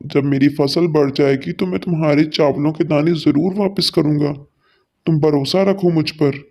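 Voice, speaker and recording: A man's voice: fairly high pitch, steady tone, moderate pace, gravelly, warm tone. A man voicing a kindly, earnest father sparrow in a cartoon story, with a reassuring manner. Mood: earnest, pleading.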